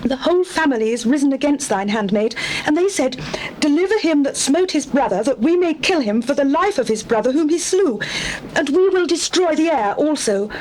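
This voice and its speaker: A pleading widow addresses a king in formal, archaic language. Her voice is high and theatrical, the supplication of a mourner in a scripture drama. Faint noise sits behind the recording. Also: darkish tone, rough recording. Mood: anguished pleading.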